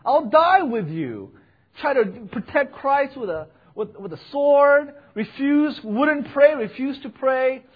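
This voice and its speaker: A young man, a pastor, preaching with earnest emphasis and a loud voice, swelling to fervent peaks. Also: very high-pitched, expressive, takes it slowly, muffled recording. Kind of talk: sermon with personal report.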